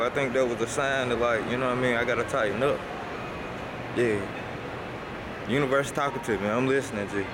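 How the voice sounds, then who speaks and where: A young man talks straight into a handheld camera held at arm's length as he moves between his car and a shopping mall. His delivery is loose and slangy, with a mid-range voice, and loud noise from the street and the mall hangs behind him. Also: medium pitch. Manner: loose slangy talk, calls viewers bro. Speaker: sneaker vlogger. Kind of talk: casual vlog talk to camera.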